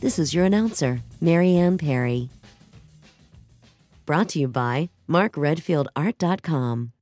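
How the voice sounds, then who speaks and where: A woman's voice: deep voice, animated, a little slow, a little mellow. A woman announcer reading a scripted show introduction over music. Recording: music behind the voice.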